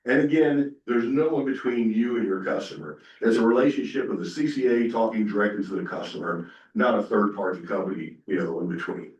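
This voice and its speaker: A man's voice: on the low side, a little fast, a little mellow, highs cut off. A man giving a casual conference presentation, talking in a folksy way with lots of fillers. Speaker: conference panelist. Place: conference room. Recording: echoey room, speaker far from mic.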